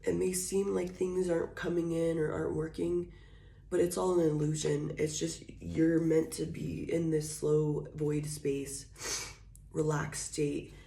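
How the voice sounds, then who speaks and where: A woman giving a casual tarot reading. She is hoarse from being sick and talks softly and slowly, with fillers. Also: low voice, flat delivery. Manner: soft, slow and drawn-out, with scattered fillers. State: hoarse, recovering from illness, coughing. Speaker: tarot reader. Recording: echoey room, faint noise.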